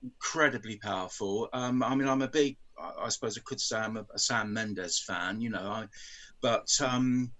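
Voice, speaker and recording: A man's voice: fairly low pitch, pushed and bright. An older man speaking as a podcast guest over a video call, thoughtful and hesitant, with frequent ums. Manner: hesitant, many ums and pauses. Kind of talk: casual video-call chat.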